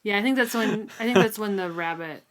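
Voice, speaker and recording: A woman's voice: mid-range voice, a little fast. A woman co-hosting a casual book discussion, talking informally and thoughtfully. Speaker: podcast co-host.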